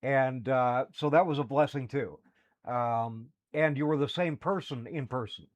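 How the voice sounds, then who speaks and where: A man's voice: mid-range voice, measured pace, lo-fi sound. A man co-hosting a livestream, chatting casually and rambling with many fillers and restarts. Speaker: podcast co-host. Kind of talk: casual chat.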